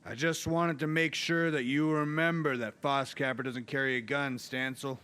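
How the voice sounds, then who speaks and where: A man playing a measured, watchful western marshal in a radio drama, noncommittal in manner. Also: medium pitch, steady tone, sharp tone, clean tone, close to an everyday voice. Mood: firm. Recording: guitar music behind the voice.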